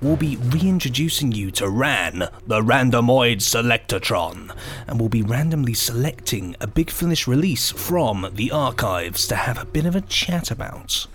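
A man's voice: medium pitch, expressive, normal speed, a bit bright. A man with an English accent co-hosting a podcast, playful and mock-formal as he chats and links segments. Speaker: podcast co-host. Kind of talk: jokey podcast chat and running-order links.

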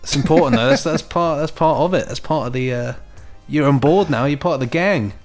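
A man's voice: a little high, lively intonation, fairly quick, vocal fry, soft background music. A British man chatting casually as a podcast co-host. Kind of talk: casual chat.

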